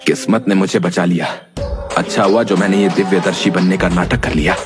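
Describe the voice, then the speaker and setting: A man voicing a sly trickster in a cartoon, low and scheming, then fawning to the king. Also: brisk, big-room sound, over music. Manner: sly, low and self-satisfied. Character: sly scheming male trickster posing as a seer. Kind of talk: cartoon voice acting.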